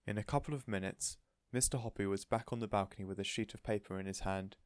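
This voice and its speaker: A man's voice: lowish voice, fairly even intonation, fast talker, smooth, dry recording, clean studio sound. A man reading a children's story aloud as scripted audiobook narration. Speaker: audiobook reader.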